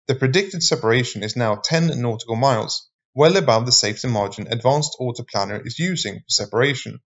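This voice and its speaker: Dull-sounding male narrator, formal and scripted. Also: medium pitch.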